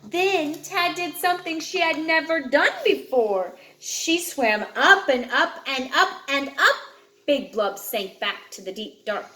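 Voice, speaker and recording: A woman reads a picture book aloud with dramatic emphasis on key words. Her voice sits fairly high and swings widely in pitch. The room adds a noticeable echo around her expressive storytelling. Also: measured pace. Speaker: storyteller reading aloud to a child.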